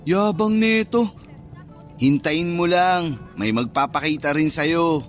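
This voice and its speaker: A man's voice: a little high, slow, soft background music. An actor playing a superstitious, easily spooked young man chatting in slang in a radio drama. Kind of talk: radio drama dialogue, casual banter.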